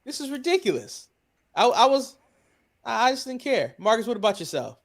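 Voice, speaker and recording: A man's voice: very high-pitched, big pitch swings, brisk, gravelly. An American man hosting a wrestling podcast, chatting casually and bluntly, swearing and putting questions to others. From United States. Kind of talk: casual opinionated sports chat.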